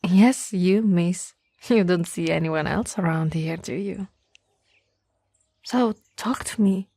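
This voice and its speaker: A woman playing a tall, teasing, caring young childhood friend in an ASMR roleplay, with a soft, breathy, slightly husky voice and a gently coaxing manner. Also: medium pitch, dry recording, clean studio sound. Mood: playful, gentle. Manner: slow, playful, gently coaxing.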